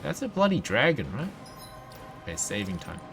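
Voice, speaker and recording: A man's voice: mid-range voice, wildly swooping pitch, normal speed, vocal fry. A man doing casual, playful live video game commentary, talking to himself and his viewers. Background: video game music.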